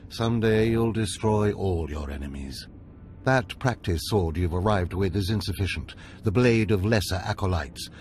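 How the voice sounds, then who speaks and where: A stern older overseer of a dark order , played by a man for a video game. The voice sits fairly low, formal and commanding, with scheming authority in measured phrases. A noisy ambience runs behind it. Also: lightly acted.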